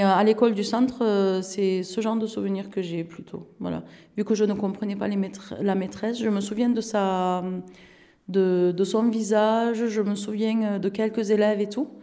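Halting, soft-toned woman in her thirties. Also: mid-range voice. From Turkey. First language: Turkish. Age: 30s. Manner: hesitant, many fillers and restarts. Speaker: interviewee. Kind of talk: casual recollection in an interview.

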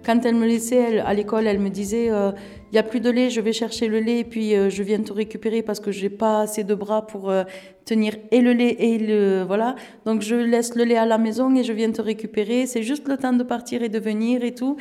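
Woman, 30s, from Turkey, Turkish first language, mellow tone, hesitant with fillers. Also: on the high side, little pitch movement, brisk, faint music behind. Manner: hesitant, many fillers and restarts. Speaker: interviewee. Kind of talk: casual recollection in an interview.

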